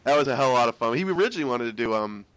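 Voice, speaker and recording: Lively young man chatting excitedly. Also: medium pitch, rapid-fire, clean tone, no echo, rough recording. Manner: excited, rambling, swears freely. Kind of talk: casual chat.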